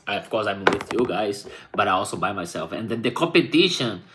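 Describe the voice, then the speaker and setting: A man's voice: fairly low pitch, lively intonation, a little fast, heavy background noise. A man from Brazil with a Brazilian Portuguese accent, a rapper talking casually to his viewers and often adding "right?". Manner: rambling, frequent "right?" tags. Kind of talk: casual vlog chat.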